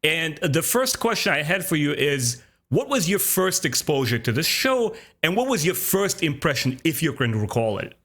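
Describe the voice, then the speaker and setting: A man's voice: on the high side, very animated, normal speed, hardly any pauses, a bit bright. A man in his thirties hosting a casual fan podcast, talking with enthusiasm. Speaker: podcast host.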